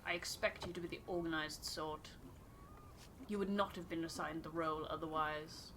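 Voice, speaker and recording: Exacting ship's officer female player voicing, formal, authoritative, lowish pitch, noise in the background. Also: little pitch movement, moderate pace, lightly acted. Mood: stern. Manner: formal, clipped, authoritative wording. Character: stern, exacting expedition officer briefing a subordinate.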